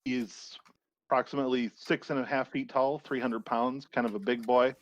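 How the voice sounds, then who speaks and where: A man playing a tabletop game, casually describing things in a halting, measured way. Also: mid-range voice, fairly even intonation, lo-fi sound. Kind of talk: casual character introduction.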